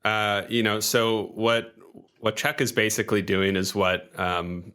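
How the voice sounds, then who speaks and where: A man playing a tabletop game, casually improvising a story about his character with halting starts. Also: deep voice, pushed and bright.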